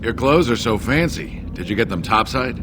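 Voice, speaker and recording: An actor playing a naive, dim dwarf from the slums with a simple, wondering manner in a fantasy game. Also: male voice, medium pitch, animated, moderate pace, noisy background, close to an everyday voice. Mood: curious. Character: naive, dim dwarf slum dweller afraid of the sky.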